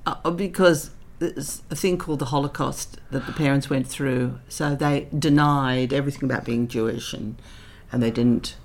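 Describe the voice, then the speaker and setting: A woman's voice: a bass voice, steady tone, normal speed, hardly any pauses, darkish tone, slight background noise. A middle-aged woman, Dutch-born, talking as an interview guest and telling stories with reflective, dry humour. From Netherlands. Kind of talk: candid interview conversation.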